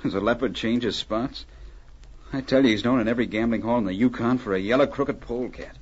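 An actor playing a stubborn, hot-headed gold miner and protective brother with blunt folksy talk, in 1940s radio drama style. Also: male voice, mid-range voice, quick pace, darkish tone, slightly rough, lightly acted. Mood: gruff, indignant. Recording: muffled, hissy old radio recording.

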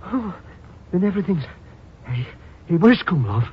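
An actor playing a cowardly, wisecracking comic sidekick, slangy, excitable and complaining, in a 1950s radio drama. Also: male voice, sits high, moderate pace, slightly rough, heavily acted. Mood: panicked. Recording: muffled, noisy old radio recording.

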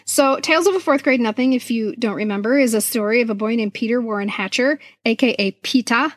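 High-voiced middle-aged American woman, close and dry. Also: fairly quick, dry recording. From United States. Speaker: podcast co-host and children's author. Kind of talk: casual conversational storytelling about childhood memories.

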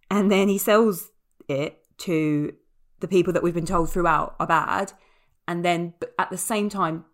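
A woman hosting a casual film podcast, chatty and opinionated, often correcting herself as she talks. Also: on the low side. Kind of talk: casual film review chat.

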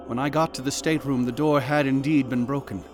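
An actor playing an earnest, haunted ship's doctor in a radio drama, speaking in a formal, old-fashioned manner. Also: male voice, medium pitch, steady tone, fairly quick, over music, natural voice. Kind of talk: radio drama acting and narration.

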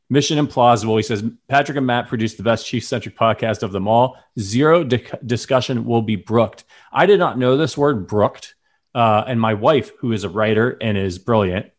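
A man co-hosting a podcast in casual, playful chat, often laughing. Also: fairly low pitch. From lives in Chicago. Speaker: podcast co-host.

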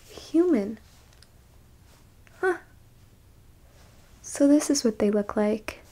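A woman playing a haughty, curious alien examiner in a whispered ASMR roleplay, musing slowly and clinically with blunt asides. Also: high voice, a little hiss or hum. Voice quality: soft, breathy whisper. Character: curious, easily disgusted, haughty alien abductor examining a human.

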